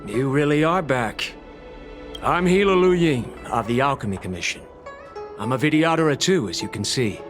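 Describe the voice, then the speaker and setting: Guy voicing a stern alchemy official in a game, formal, very animated pitch, music behind. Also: normal speed, lightly acted. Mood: accusing. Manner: formal, declamatory, admonishing. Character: stern, formal male alchemy official of dragon-kin, blunt. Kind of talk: video game voice acting.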